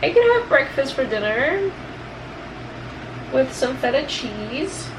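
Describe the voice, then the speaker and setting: Woman, somewhat high voice, casual vlogger, rambling, self-deprecating, noticeable background noise. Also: sing-song, slow. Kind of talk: casual chatty vlog.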